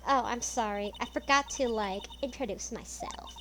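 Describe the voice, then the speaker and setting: A woman's voice: on the high side, moderate pace, background noise, lightly acted. A woman voicing a ditzy valley-girl college student who fills every sentence with "like". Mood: airy. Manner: airy, filler-heavy, says "like" constantly. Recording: game music behind voice. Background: video game music.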